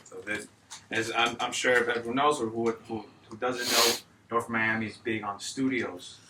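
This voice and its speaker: A man's voice: mid-range voice, fairly even intonation, moderate pace. A man interviewing a guest in a studio, asking short casual questions. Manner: brief prompts, short reactions. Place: recording studio. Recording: echoey, distant, loud noise.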